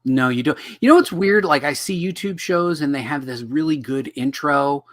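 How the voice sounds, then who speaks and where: Brief: middle-aged male host, slightly hoarse, jokey and self-deprecating, webcam sound. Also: fairly high pitch, normal speed. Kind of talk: casual livestream teaching. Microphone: video call webcam.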